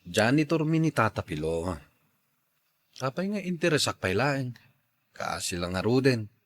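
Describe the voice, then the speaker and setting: A man acting a mild, soft-hearted working husband in a radio drama, placating and easygoing. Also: mid-range voice, very animated, unhurried.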